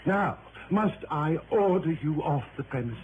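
An actor playing a fussy, indignant hotel manager in an old radio drama. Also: male voice, on the high side, expressive, measured pace, phone-quality sound. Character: prissy, stubborn French hotel manager in New Orleans. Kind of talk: 1950s-60s American radio drama acting. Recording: noisy, thin vintage radio recording.

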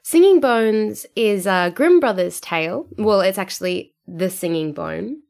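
A woman's voice: mid-range voice, lively intonation, measured pace, vocal fry, darkish tone. An Australian woman, a writer and performer, chatting enthusiastically as a podcast guest. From Australia (Sydney). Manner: chatty and enthusiastic, often laughing. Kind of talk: casual podcast interview.

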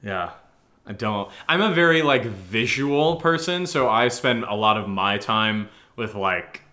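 A man's voice: fairly low pitch, lively intonation, measured pace, sharp tone. A man co-hosting a podcast, chatting casually as he answers listener questions in a rambling, joking, self-deprecating way. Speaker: audio drama podcast co-host.

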